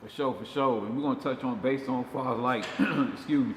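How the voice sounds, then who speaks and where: Male interviewer, Southern accent, mid-pitched and brisk, informal slang, reverberant space with faint noise. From Georgia, USA. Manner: slangy, often repeats "you know what I'm saying". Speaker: show host, interviewer. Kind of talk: casual interview chat.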